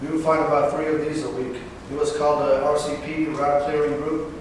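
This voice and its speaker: A man's voice: a little high, level tone. Man speaking far off in an echoey hall. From Northern California. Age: 20s. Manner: halting, with fillers and self-corrections. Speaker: veteran giving a talk. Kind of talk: personal speech read to an audience. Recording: distant, echoey hall recording with background noise.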